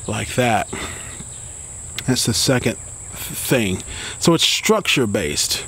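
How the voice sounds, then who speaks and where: A middle-aged American man talks casually to camera as a fishing vlogger. His medium-pitched voice rambles informally through explanations, often repeating words. The outdoor recording carries noticeable cricket and insect noise. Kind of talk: casual instructional talk to camera. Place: outdoors beside a building in a green yard. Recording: clearly noisy outdoor insect ambience.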